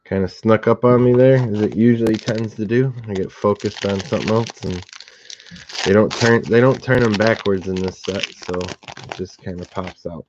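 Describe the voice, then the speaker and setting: A slightly hoarse man hosting a card box break, calmly listing each card and who it goes to. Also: lowish voice, muffled recording, noisy background. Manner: steady, unhurried listing of cards and recipients. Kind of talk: relaxed live box-break commentary.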